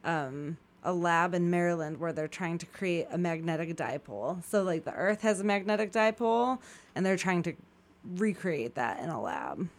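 Low-voiced woman interviewing plainly. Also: normal speed. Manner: relaxed, clarifies for listeners. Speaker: interviewer and host. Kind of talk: casual interview.

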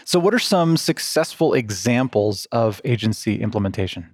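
A man's voice: lowish voice, expressive, moderate pace, slightly rough, no echo, clean studio sound. A man hosting an interview, asking questions and affirming answers often. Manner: affirming, frequent backchannels. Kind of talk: conversational interview.

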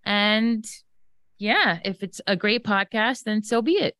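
A woman's voice: mid-range voice, expressive, slow pace, pushed and bright, no echo, clean studio sound. A woman in her forties chatting casually as a podcast guest, playful and teasing. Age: 40s. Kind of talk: casual bantering chat.

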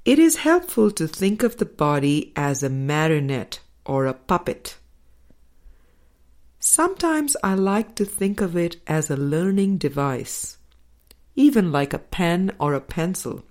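A woman narrating a spiritual audiobook, reading scripted text in measured, deliberate phrases. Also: lowish voice, very animated, darkish tone. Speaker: audiobook reader. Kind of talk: scripted spiritual book narration, read aloud.

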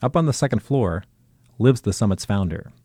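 A man's voice: fairly low pitch, lively intonation, moderate pace, husky, dry recording, clean studio sound. A man narrating a scripted radio documentary as its reporter. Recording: faint music under narration. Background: soft music.

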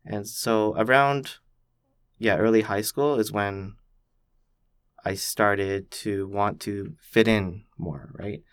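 A young man from Canada, thoughtfully telling his own story as a podcast guest, with many pauses and "like" fillers. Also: fairly low pitch, steady tone, clear, resonant tone, no echo, clean studio sound. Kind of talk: reflective personal storytelling.

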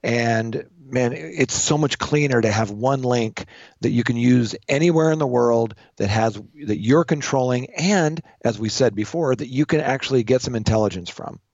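A man's voice: mid-range voice, moderate pace, a little mellow. Guy co-host chatting casually, kinda muffled lo-fi audio, no room echo. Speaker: podcast co-host. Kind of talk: casual conversational commentary. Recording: dull, slightly muffled audio.

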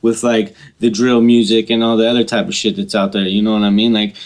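A man's voice: lowish voice, flat delivery, fairly quick. A man chatting casually as a podcast guest, with heavy slang and casual swearing. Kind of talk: casual chat.

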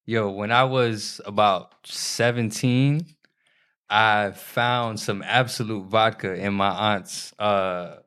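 A man's voice: on the low side, steady tone, slow pace, smooth. A man in his thirties co-hosting a podcast, talking loosely and laughing a lot, swearing freely as he tells stories. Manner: loud, laughing, profane banter. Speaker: podcast co-host. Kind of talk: casual chat.

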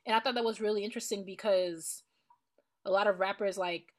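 A woman co-hosting a podcast, chatting casually about music in an animated, playful, colloquial way. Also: medium pitch, fairly quick. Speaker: podcast co-host. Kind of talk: casual chat and music commentary.

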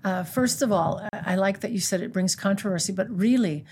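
A woman's voice: medium pitch, fairly quick, darkish tone, breathy edge. An American woman in her sixties, a guest who leads a pro-life group, talking earnestly in an interview and quoting scripture. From United States. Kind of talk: conversational interview.

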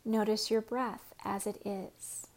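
Slow, breathy woman guiding meditation. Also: medium pitch, level tone. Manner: slow, soft, often whispered guidance. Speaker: guided meditation teacher.

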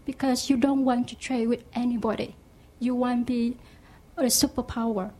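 A woman from Vietnam with a Vietnamese accent, a non-native speaker asking a question from the audience, hesitant with many pauses and ums. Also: a little high, steady tone, warm tone.

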